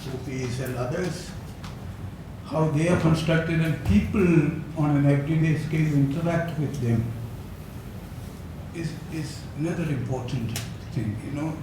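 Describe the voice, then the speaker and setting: An older man lectures at a slow, unhurried pace, pausing often on fillers and breaking into small chuckles. His voice comes from a distance in a very echoey hall with a steady noisy rumble behind it. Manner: hesitant, many fillers, chuckles. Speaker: academic speaker. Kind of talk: conference talk.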